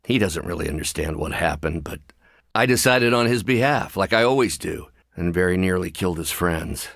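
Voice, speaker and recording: A brilliant, mild-mannered scientist in a video game. His middle-aged voice sits fairly low and moves expressively. He is measured and articulate, wry but weighed down by guilt, and the sound is very dry. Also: animated, gravelly, a bit bright, no room sound, full character voice. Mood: earnest, regretful.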